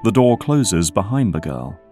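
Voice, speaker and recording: A man's voice: on the low side, big pitch swings, a little slow, over music. A man narrating a scripted audio drama as its storyteller.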